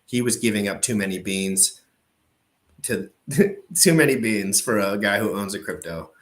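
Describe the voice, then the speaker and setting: A man giving brash, profane, excitable commentary while reacting to an old crypto video. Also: on the low side, measured pace.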